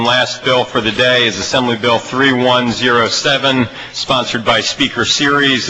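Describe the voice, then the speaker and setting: A man's voice: mid-range voice, sharp tone, a little hiss or hum, rough recording. An American man presiding as chair over a legislative committee hearing.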